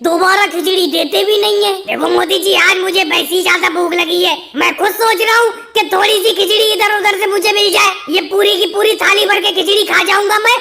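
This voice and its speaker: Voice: very high-pitched, steady tone, brisk, creaky, few pauses, pushed and bright, exaggerated voice. A performer voicing a squabbling male cartoon caricature in a whiny, emphatic comic dialogue style. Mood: pleading, bickering. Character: pitched-up cartoon caricature of a squabbling male politician schoolboy or labourer.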